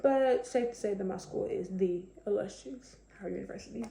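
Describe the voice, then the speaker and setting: A young woman with a slightly raspy voice, chatting casually to camera with lots of fillers. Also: medium pitch, fairly quick, mellow, echoey room, slight background noise. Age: young adult. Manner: chatty, conversational, many fillers. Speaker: vlogger.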